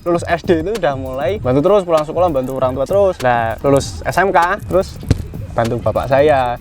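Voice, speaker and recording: A man's voice: mid-range voice, expressive, a little fast, a little mellow, soft background music. A man in his early twenties from Central Java with a mixed Javanese accent, a guest chatting about his work and telling his story with frequent laughs. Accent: Central Javanese, mixed ngapak. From Batang, Central Java, Indonesia. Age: 20s. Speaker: guest, welder working in Japan. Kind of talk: casual interview answers.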